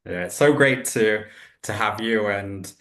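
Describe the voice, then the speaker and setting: A man's voice: on the low side, unhurried, fairly bright tone. A man hosting a podcast, upbeat and enthusiastic as he welcomes and questions his guest. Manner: upbeat, enthusiastic, uses "delve" and "yeah". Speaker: podcast host. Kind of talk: casual interview hosting. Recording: echoey room.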